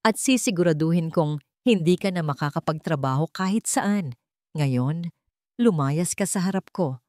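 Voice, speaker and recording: A woman's voice: deep voice, lively intonation, moderate pace, darkish tone, no echo, clean studio sound. A woman voicing an intense, commanding billionaire man in an audio love story, speaking emphatically. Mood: stern, angry. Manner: emphatic, accusing, insistent. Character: stern, commanding billionaire man, remorseful and pleading later.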